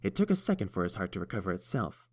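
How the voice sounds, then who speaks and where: A man reading a fan story aloud with a very low voice. His delivery is steady and even, paced like an audiobook. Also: quick pace, breathy edge. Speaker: narrator.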